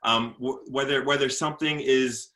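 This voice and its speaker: Bright-voiced man, an earnest motivational speaker. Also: mid-range voice, steady tone, normal speed. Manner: earnest, repeats words while gathering thoughts. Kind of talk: casual interview talk.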